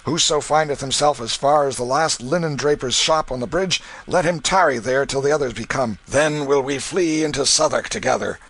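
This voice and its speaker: A man voicing a rough, harsh father who gives orders in old-fashioned speech. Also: medium pitch, a bit bright, voice pushed up. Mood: gruff. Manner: rough, commanding, slow. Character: gruff, harsh Tudor father on the run.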